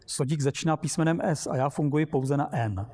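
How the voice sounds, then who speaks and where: A man's voice: fairly high pitch, warm tone, natural voice. A man voicing a smug, literal-minded talking machine in a storybook reading, pedantic and matter-of-fact.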